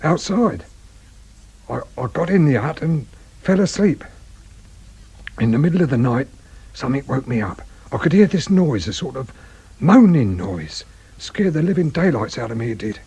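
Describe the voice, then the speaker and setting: An actor playing a muddled, frightened suspect in a radio drama, halting and pleading, with an English accent and a husky, rough voice. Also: male voice, medium pitch, very animated, darkish tone, heavily acted. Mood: anxious confessing. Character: frightened, muddled male petty suspect confessing to a killing.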